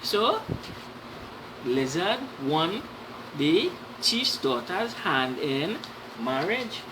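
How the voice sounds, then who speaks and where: A woman reading a children's story aloud in an animated, dramatic way, with explanatory asides to young listeners. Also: on the low side, very slow, noisy background.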